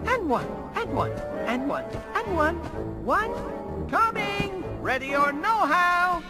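A performer voicing the childish, contrary twin brothers in a game cutscene, with muddled grammar and singsong counting. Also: female voice, extremely high pitch, big pitch swings, slow, over loud music, full character voice. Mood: playful.

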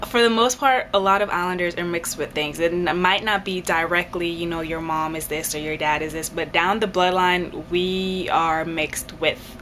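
A woman's voice: on the low side, moderate pace, few pauses. A young woman in her twenties, answering viewer questions in a casual, hesitant way with lots of ums, filmed at home in a bedroom on a close camera mic. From Jamaica. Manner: chatty, hesitant with many ums, light laughs. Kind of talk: casual Q&A chat. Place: indoors, bedroom in front of a headboard. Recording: steady background hiss.

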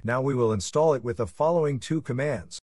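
A man narrates a scripted how-to in a husky voice at a middle pitch. He gives plain, step-by-step instructions. The recording is close and dry. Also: fairly quick, a little mellow, no echo. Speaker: tutorial narrator. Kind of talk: scripted software tutorial narration.

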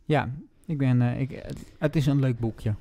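A man co-hosting a podcast, chatting casually about books in a halting way, repeating words as he thinks. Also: medium pitch, fairly even intonation, gravelly, warm tone. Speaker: podcast co-host. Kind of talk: casual book chat.

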